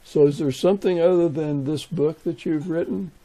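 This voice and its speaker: Very dark-voiced man, creaky podcast host. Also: fairly high pitch, steady tone, normal speed. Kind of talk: casual conversational interviewing.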